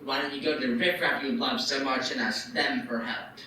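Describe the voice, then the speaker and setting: An actor playing a greedy, scornful old banker in a live radio play, cold and sneering. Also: male voice, medium pitch, fairly even intonation, fairly quick, sharp tone, close to an everyday voice. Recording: echoey hall, voice from far off, faint noise.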